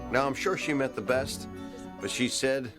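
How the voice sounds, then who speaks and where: A man as a calm, reflective sitcom narrator. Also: medium pitch, moderate pace, music in the background, lightly acted. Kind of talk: scripted narration.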